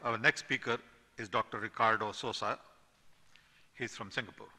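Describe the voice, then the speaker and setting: A man's voice: on the low side, steady tone, normal speed, pushed and bright. A man moderating a conference panel, giving brief, formal introductions of the speakers. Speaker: session moderator. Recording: distant in reverberant hall.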